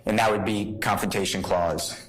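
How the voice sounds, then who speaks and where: Low-voiced man arguing in court. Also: steady tone, normal speed, a bit bright, soft background music, poor audio. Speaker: defense attorney. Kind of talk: legal argument in court.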